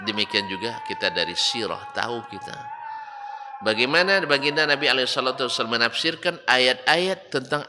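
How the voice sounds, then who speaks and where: Emphatic preacher in an echoing hall. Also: male voice, a little high. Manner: rising to loud emphatic rebukes, mocking rhetorical questions. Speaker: Islamic preacher. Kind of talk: religious study lecture. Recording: reverberant hall with faint background noise.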